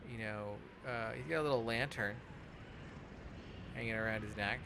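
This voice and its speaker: A man giving casual, halting commentary on a video game, explaining as he goes and repeating words. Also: on the low side. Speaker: let's play podcast host. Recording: clear background noise and game ambience.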